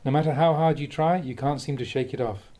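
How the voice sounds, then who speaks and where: A man, a Buddhist monk, reading a dharma talk aloud in a measured, reflective way with the occasional soft chuckle. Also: on the high side.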